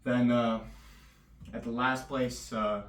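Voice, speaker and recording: A man chatting casually in a loose, rambling vlog, his voice a little raspy. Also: medium pitch, level tone, slow pace, fairly bright tone. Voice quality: slightly raspy. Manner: loose and rambling, full of fillers. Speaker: vlogger. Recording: echoey room, distant mic.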